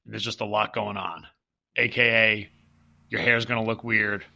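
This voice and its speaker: A man's voice: on the low side, little pitch movement, normal speed, creaky, pushed and bright. A man co-hosting a podcast, upbeat and playful, explaining ideas with metaphors while sounding a bit stuffy. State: congested and stuffy. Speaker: podcast co-host. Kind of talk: casual podcast chat.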